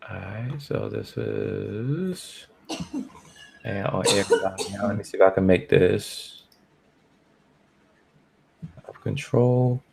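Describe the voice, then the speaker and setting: A man's voice: fairly low pitch, slow pace, vocal fry, long pauses, noisy background. A man chatting casually and slangily as a podcast host setting up a livestream. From born in Barbados, moved to the United States. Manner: slangy, informal.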